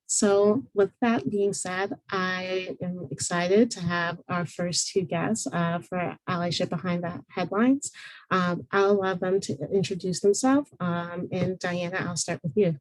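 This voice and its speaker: A woman's voice: lowish voice, fairly even intonation, a little slow, dry recording, rough recording. A woman moderating an online panel in a friendly, casual way, with lots of fillers and restarts.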